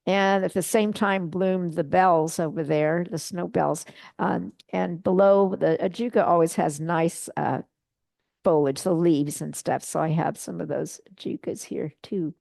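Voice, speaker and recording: A middle-aged woman giving a relaxed, chatty slide-show talk to a club, with many fillers and restarts. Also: lowish voice, measured pace, gravelly, dry recording. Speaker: guest presenter at a bonsai club meeting.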